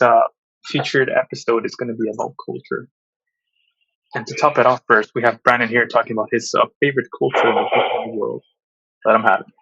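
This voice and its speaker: Husky guy doing a jokey formal intro for the podcast, dry muffled audio. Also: medium pitch, fairly bright tone, dry recording. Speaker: podcast host opening the show. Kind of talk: mock-formal show introduction. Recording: dull, dry lo-fi sound.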